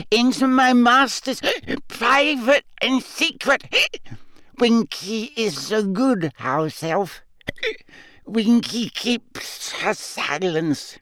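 A man voicing a drunken, tearful little female house-elf who squeaks, coughs and hiccups as she talks. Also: a bit bright, dry recording, studio quality, full character voice.

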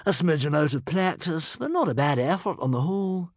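A man's voice: fairly high pitch, lively intonation, brisk, dry recording, clean studio sound, voice pushed up. A man voicing a vain, breezy middle-aged hero who sounds pleased with himself. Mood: breezy boastful. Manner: breezy, self-satisfied.

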